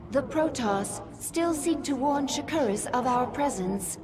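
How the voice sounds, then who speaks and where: A devoted alien servant of a queen speaks in a rather high, formal voice. She sounds reverent and fiercely loyal, with long reverb and music behind, in game cinematic style. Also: expressive, a little slow, noisy background. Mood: dutiful. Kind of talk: video game cinematic acting.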